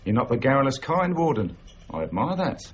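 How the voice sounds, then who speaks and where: An actor playing a cocky, smooth-talking con man who flatters and schemes in a period radio drama. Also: medium pitch, fairly quick, dull audio. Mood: flattering, oily.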